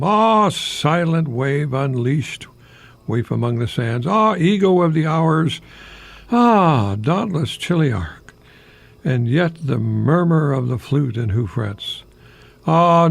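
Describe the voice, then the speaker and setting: An older man hosting a radio monologue, speaking haltingly in a whimsical, self-deprecating way with a husky, gravelly voice. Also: mid-range voice. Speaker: radio host, retired professor. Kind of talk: rambling humorous radio monologue with poetry readings.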